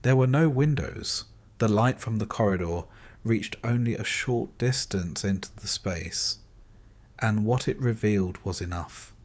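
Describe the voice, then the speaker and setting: A man narrates a scripted bedtime story in a calm, soothing, measured way, in deliberate short phrases with pauses. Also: on the low side.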